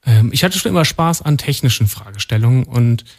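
A man from North Rhine-Westphalia, a department head being interviewed in a radio studio, explaining things in a calm, matter-of-fact way. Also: medium pitch, brisk. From North Rhine-Westphalia, Germany.

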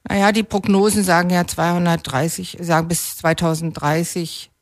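A woman's voice: fairly low pitch, flat delivery, normal speed, no echo, studio quality. A woman from Berlin answering interview questions on the radio as a political candidate. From Berlin, Germany. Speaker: mayoral candidate being interviewed.

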